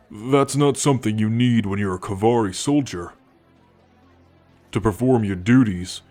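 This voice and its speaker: Man playing a slow-talking, low-voiced friendly alien soldier. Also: darkish tone, close to an everyday voice. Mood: earnest, sympathetic. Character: friendly, teasing alien male soldier, warm off duty. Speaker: voice actor in an audio roleplay. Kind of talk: ASMR roleplay, intimate one-sided conversation.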